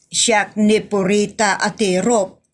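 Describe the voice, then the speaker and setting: A woman's voice: mid-range voice, flat delivery. A woman voicing an aggrieved, chatty older village wife with an Ilocano accent, rambling and plaintive in a comedy audio drama. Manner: rambling, plaintive, drawn-out complaining.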